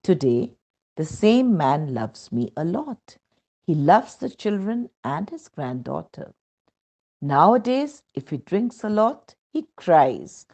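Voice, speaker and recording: A woman with an Indian accent narrates a devotional testimonial in a low, mellow voice. She takes her time, reading in a measured storytelling way with expressive rises and falls. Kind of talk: scripted devotional narration, read aloud. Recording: dull, muffled lo-fi sound.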